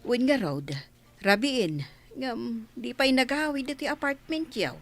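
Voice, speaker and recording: A woman's voice: on the high side, expressive, a little slow, music in the background. A woman playing a worried, reproachful middle-aged mother in a radio drama.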